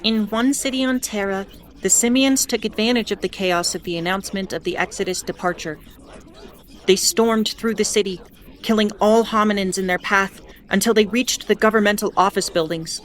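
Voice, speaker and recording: A woman playing a weary, sardonic starship broadcaster in an audio drama, giving history in a deliberate, grudging, pointed way. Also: medium pitch, lightly acted. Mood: grave, explanatory. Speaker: ship's bulletin broadcaster. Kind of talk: in-character educational monologue, audio fiction.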